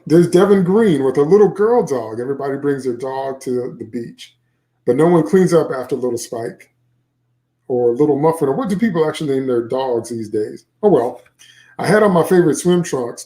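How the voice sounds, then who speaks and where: A man's voice: medium pitch, animated, moderate pace, warm tone, echoey room, lightly acted. A man voicing a smart-mouthed, geeky sixth-grade boy from a children's novel, chatting wryly through his rambling thoughts. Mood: chatty, carefree. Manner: chatty, wry, rambling inner monologue. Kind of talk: first-person children's novel read aloud.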